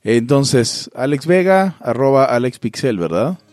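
A man's voice: mid-range voice, expressive, a little slow, music in the background. A man hosting a podcast, speaking Spanish with a Mexican accent and traces of a Venezuelan Maracaibo one, upbeat as he signs off. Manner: upbeat, signing off guests. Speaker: podcast host. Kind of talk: casual podcast chat.

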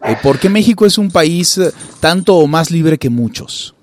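A man's voice: fairly high pitch, lively intonation, moderate pace, no echo. A man from Venezuela hosting a casual interview, asking long, loose questions padded with "eh" and "este". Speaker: podcast host, interviewer.

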